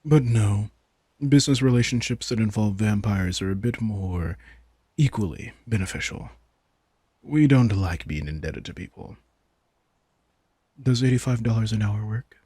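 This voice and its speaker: A man's voice: lowish voice, moderate pace, long pauses, dark tone, breathy edge, no room sound, studio quality. A man playing a sardonic, laid-back vampire king in an intimate audio roleplay, teasing and manipulative as he speaks casually to the listener. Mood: amused, persuasive. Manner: laid-back, wry, teasing, informal swearing.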